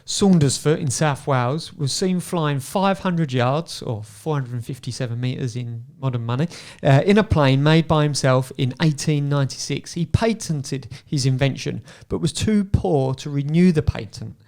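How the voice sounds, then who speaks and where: A man's voice: fairly high pitch, moderate pace, hardly any pauses, darkish tone. A man hosting a podcast, running a light trivia quiz with his guests and laughing often. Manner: playful, laughs often while quizzing guests. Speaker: podcast host.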